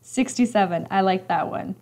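A young woman in her mid-twenties hosts a casual live stream from an indoor room, her voice a bit raspy today. She chats easily and teases her viewers as she reads their comments, with a light laugh never far off. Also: mid-range voice, normal speed, darkish tone. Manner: chatty, teasing, laughs often. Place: indoor room with leather couch.

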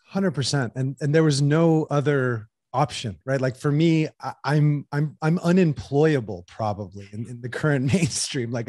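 A man's voice: mid-range voice, dry recording. Casting: thirties male guest, loose filler-heavy rambling, dry close-miked studio sound. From United States. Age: 30s. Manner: rambling, filler-heavy, animated hand gestures. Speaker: guest entrepreneur. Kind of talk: casual interview talk. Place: small room indoors. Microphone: headset with boom studio mic.

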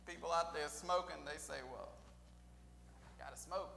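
A man's voice: a little high, moderate pace, gravelly, pushed and bright, a little hiss or hum. A middle-aged male minister preaching call-and-response style, chanting phrases and quizzing the Scripture reader, recorded on a pulpit mic in a small church. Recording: echoey church room, long reverb, faint organ.

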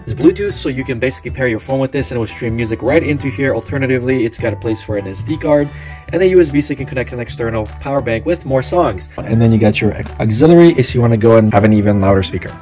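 Male product reviewer, casual and chatty, salesy delivery, mid-range pitch, light music underneath. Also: fast, warm tone.

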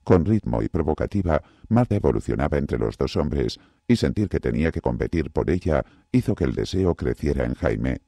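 Very deep gravelly male narrator. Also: sing-song, moderate pace, few pauses, dark tone, no room sound, studio quality. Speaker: audiobook narrator. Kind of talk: scripted narration.

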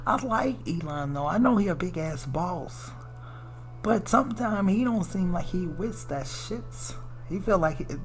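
A woman's voice: on the low side, darkish tone. An American woman giving a casual, chatty tarot reading, musing aloud in informal, slangy, salty language. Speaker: tarot reader.

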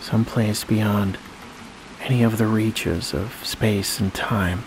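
Low, even-toned man guiding sleep hypnosis. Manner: slow, soothing, long pauses between phrases. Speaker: hypnosis guide. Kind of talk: guided sleep meditation. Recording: steady rain-like noise behind voice.

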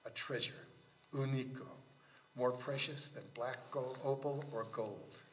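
A man voicing a wretched beggar in a story reading, croaking and proud, dropping in Italian words. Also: mid-range voice, fairly even intonation, normal speed, a little hiss or hum, full character voice, low-quality recording. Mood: secretive, enticing. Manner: hushed, sighing, boastful, peppered with Italian.

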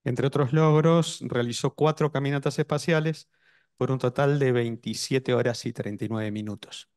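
A middle-aged man presenting an event over a video call, pausing often with fillers and restarts. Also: mid-range voice, fairly even intonation, darkish tone, no room sound, clean studio sound. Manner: hesitant, with many fillers and restarts. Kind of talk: formal event introduction.

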